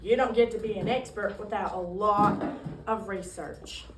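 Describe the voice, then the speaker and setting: A woman voicing a cheeky, romantic ninety-two-year-old tap dancer in a comic stage monologue, telling her story with theatrical, drawn-out punchlines. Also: mid-range voice, steady tone, over loud music, close to an everyday voice. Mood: cheeky, boastful. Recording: roomy, distant microphone, faint noise.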